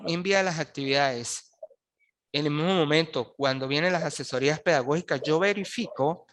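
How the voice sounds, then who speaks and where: A man's voice: fairly high pitch, normal speed, fairly bright tone. A man teaching an online postgraduate class, talking with students in a hesitant way and often checking in with "¿sí?". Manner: hesitant, restarts words, frequent checks with "¿sí?". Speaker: university lecturer. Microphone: video call.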